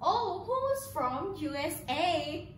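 A woman teaches a children's lesson in a Thai accent, speaking slowly with a very high, sing-song voice. Her delivery is exaggerated and built on simple repeated phrases. She sounds distant from the mic in an echoing room. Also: very animated. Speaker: kindergarten English teacher. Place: small indoor room beside a presentation screen. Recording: roomy, distant mic, background noise.

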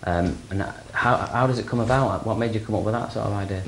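A man hosting a casual boxing interview, chatty with quick interjections. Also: lowish voice, vocal fry, slight background noise. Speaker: interviewer and presenter.

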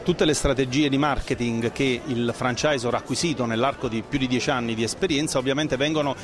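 A man's voice: mid-range voice, fairly even intonation, brisk, crowd noise. A middle-aged man explaining a business as an interview guest, speaking into a handheld microphone. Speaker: franchise consultant, interview guest. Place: trade fair stand indoors. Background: background music.

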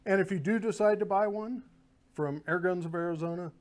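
Older male host, slightly raised pitch, friendly prompting, lapel mic in a small studio. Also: fairly quick, a little mellow. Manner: friendly, prompting questions, salesy. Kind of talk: casual product chat. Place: small indoor studio with guns on wall.

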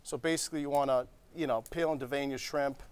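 Chef explaining a dish, easygoing. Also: male voice, mid-range voice, fairly even intonation, fast talker, clean tone. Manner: easygoing, explains step by step with frequent "okay?". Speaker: restaurant chef. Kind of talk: cooking demonstration. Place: TV studio kitchen set.

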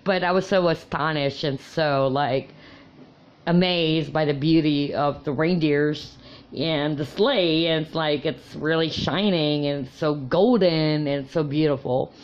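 Low-pitched woman, native Tagalog speaker, chatty vlog storyteller, slightly muffled audio. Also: unhurried. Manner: chatty, laughing often, many fillers and restarts. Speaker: crystal vlogger. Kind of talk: casual personal storytelling.

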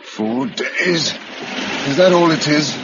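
An actor playing a practical, sombre survivor leading refugees, with an educated British accent, in a radio drama. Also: male voice, fairly high pitch, slow. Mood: grim, practical. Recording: muffled old radio recording, background noise.

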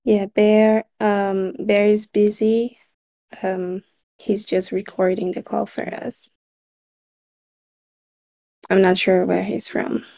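A Brazilian-accented woman, Portuguese by birth tongue, facilitates a meeting over a video call. Her voice is mellow and soft-edged, and she speaks slowly and deliberately. She pauses often as she lays out the points. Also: medium pitch, level tone, gravelly. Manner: measured, slightly halting with frequent pauses. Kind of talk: online meeting recap.

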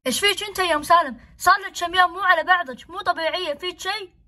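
A woman playing a stern, worried father in a homemade family skit, quick and exasperated. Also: very high-pitched, bright, forceful tone.

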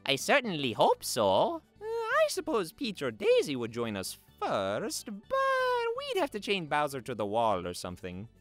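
A man's voice: a little high, wildly swooping pitch, unhurried, few pauses, fairly bright tone, faint music behind, full character voice. A man voicing a cheerful, good-natured plumber hero who hosts a cartoon fan dub show in a friendly, teasing way. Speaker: show host.

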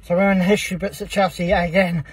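Young football fan gushing in a car. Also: male voice, high voice, little pitch movement, fast talker, slightly rough, no echo, distant mic. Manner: gushing, rambling, many "um"s. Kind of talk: excited casual vlog chat. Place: inside a parked car. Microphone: phone held at arm's length.

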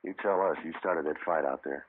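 A man acting a terse, dogged American detective in a vintage radio crime drama, narrating and questioning in a deadpan, matter-of-fact way. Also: rumbling low, fairly quick. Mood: pressing. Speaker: lead actor and narrator of a police radio drama. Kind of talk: 1950s radio acting, clipped procedural narration. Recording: tinny old radio broadcast recording.

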